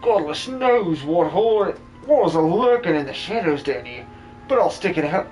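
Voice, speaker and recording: A man voicing a boastful but cowardly muscle-bound miner with rough, cheery working-class speech in a video game fan dub. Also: fairly high pitch, very animated, normal speed, a little mellow, faint music behind, poor audio. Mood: gruff, wary.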